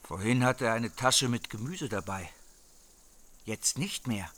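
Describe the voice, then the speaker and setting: Stakeout cop played by a man: bright, forceful, mid-pitched, frustrated, reporting to the boss, radio crime drama. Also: measured pace, lightly acted. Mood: watchful. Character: exasperated male police surveillance officer reporting to chief.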